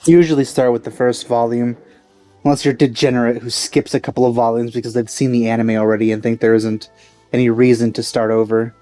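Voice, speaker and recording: Mellow man doing gameplay commentary. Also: mid-range voice, flat delivery, a little fast, no echo. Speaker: let's play streamer. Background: faint game music.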